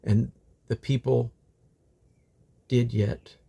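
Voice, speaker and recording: A man's voice: mid-range voice, little pitch movement, drawn-out words, creaky, dark tone. A man reading Bible scripture aloud in old King James English, in a solemn, declamatory manner. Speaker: Bible reader.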